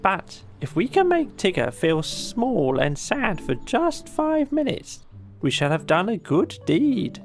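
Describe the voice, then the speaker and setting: A woman's voice: medium pitch, sing-song, takes it slowly, a little mellow, faint music behind, pitched above the performer's own voice. A woman voicing a self-important, scheming male rabbit, earnest and emphatic, in a children's audiobook. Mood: self-satisfied. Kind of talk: children's audiobook character acting.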